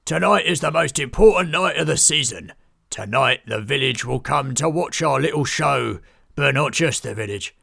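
A man's voice: medium pitch, lively intonation, moderate pace, gravelly, bright, forceful tone, no echo, clean studio sound, voice pushed up, exaggerated voice. A man voicing a bossy male circus ringmaster who barks orders at his troupe in a storybook reading. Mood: grandiose. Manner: barking commands.

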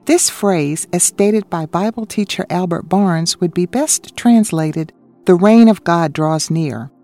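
A woman co-hosting a radio ministry, reading a scripted Bible study in a measured way and citing chapter and verse. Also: on the low side, warm tone, soft background music.

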